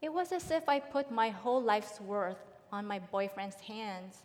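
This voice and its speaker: A woman's voice: mid-range voice, a little slow. A middle-aged woman from the Philippines, giving a prepared personal testimony in a large church hall. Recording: long hall reverb.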